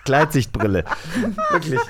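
A man's voice: mid-range voice, expressive, a little slow, over a noisy crowd. A man co-hosting a podcast in casual, playful banter with a teasing, jokey manner. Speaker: podcast co-host.

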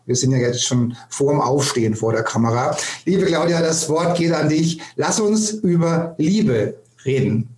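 A man's voice: a little high, lively intonation, unhurried, darkish tone. Effusive male podcast host in echoey room. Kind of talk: conversational podcast introduction. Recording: roomy, echoing sound.

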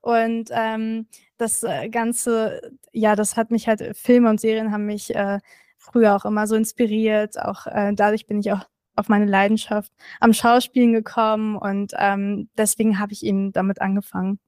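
A woman's voice: mid-range voice, flat delivery, normal speed, darkish tone, clean tone, no room sound. Young woman, friendly, hesitant, filler-heavy, dry close recording. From Berlin, Germany. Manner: friendly, hesitant, lots of "ähm". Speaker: guest, content creator. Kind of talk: casual interview answers. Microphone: remote recording from another room.

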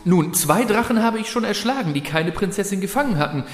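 Animated young fairy-tale hero. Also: male voice, sits high, brisk. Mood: confident, polite. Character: polite, earnest young male knightly hero, confident. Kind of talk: fairy-tale radio play acting.

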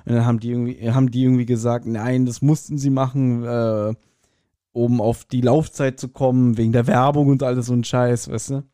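A man's voice: mid-range voice, flat delivery, a little fast, mellow, smooth, no room sound, studio quality. A man co-hosting a podcast in casual chat, with a joking, colloquial way of talking. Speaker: podcast co-host.

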